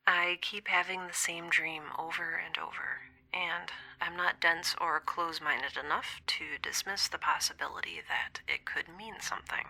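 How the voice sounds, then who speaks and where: An actress playing a lonely, wry young space scientist in an audio drama, confiding hesitantly and often dropping to a near-whisper over a log recording. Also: female voice, on the low side, bright, forceful tone, full character voice, poor audio. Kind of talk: scripted audio drama monologue, intimate dream-log recording.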